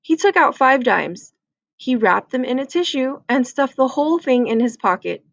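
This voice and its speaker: A woman's voice: high voice, animated, normal speed, gravelly, no room sound, lo-fi sound. A woman reading a children's book aloud as a storyteller.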